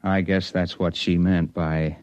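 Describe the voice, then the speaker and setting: An American actor playing a stubborn, suspicious investigator in a 1950s radio drama. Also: male voice, low-pitched, little pitch movement, unhurried, a little mellow, no echo. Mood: grim narration. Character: hard-boiled male investigator, stubborn, suspicious and driven. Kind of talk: 1950s radio drama acting and first-person narration. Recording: vintage 1950s radio, muffled, faint music.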